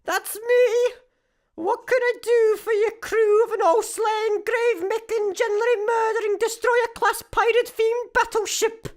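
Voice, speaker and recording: A Scottish woman playing a cheeky, deadpan petrol station attendant in a sci-fi comedy radio play, dry and sarcastic. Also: very high voice, a little slow. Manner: dry, self-aware, sarcastic.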